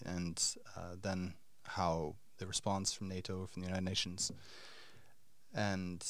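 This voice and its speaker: Halting male podcast host, dry close sound. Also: low voice, vocal fry, dry recording. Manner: halting, many fillers and restarts. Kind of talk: interview podcast, hesitant questions.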